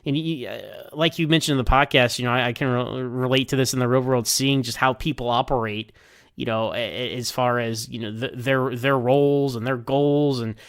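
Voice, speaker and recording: A man with a smooth, clear, mid-pitched voice talks through a casual review with real enthusiasm. He rambles and often stammers, repeating words as he goes. The recording is dry and close. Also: fairly even intonation, fairly quick, no echo. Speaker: listener sending in episode feedback. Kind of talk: casual spoken review of a TV episode.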